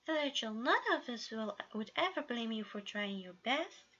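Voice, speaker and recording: A woman voicing a gentle, fatherly man in a story reading, cheerful, encouraging and soothing. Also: a little high, lively intonation, unhurried, highs cut off, heavily acted. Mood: gentle, reassuring.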